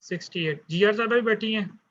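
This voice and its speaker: A man runs an online class, taking the roll in a calm, fatherly manner. His voice sits a little high for a man. The audio is dull and lo-fi, like a video call. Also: a little fast. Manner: calm, fatherly, addresses students as beta. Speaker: university lecturer.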